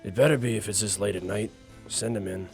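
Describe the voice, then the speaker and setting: Man acting a gravelly, imperious old boss. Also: fairly low pitch, fairly even intonation, a little fast, over music. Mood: irritated. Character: stern older male nightclub-owning boss, imperious father. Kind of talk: noir radio drama dialogue.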